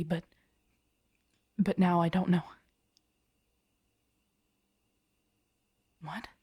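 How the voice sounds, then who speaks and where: Casting: actress for protective zombie-apocalypse survivor, young woman, husky and dark-toned, hushed, halting, urgent, for intimate audio drama. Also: lowish voice, dry recording, studio quality. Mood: anxious, shaken. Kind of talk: intimate audio roleplay acting.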